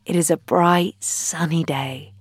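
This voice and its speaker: Slow, soothing woman guiding a meditation. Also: deep voice, fairly even intonation, breathy edge, no echo. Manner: soothing, gentle, unhurried, phrases broken by long pauses. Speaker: guided meditation leader, founder. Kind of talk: guided meditation.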